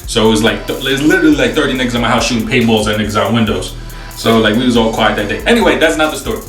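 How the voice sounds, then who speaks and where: A man in his twenties with a New York accent, telling a story casually to camera in a slangy, profane, animated way. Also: medium pitch, very fast. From New York City, USA. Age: 20s. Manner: slangy, profane, animated retelling with acted-out dialogue. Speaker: host. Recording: roomy echo, distant mic, faint music.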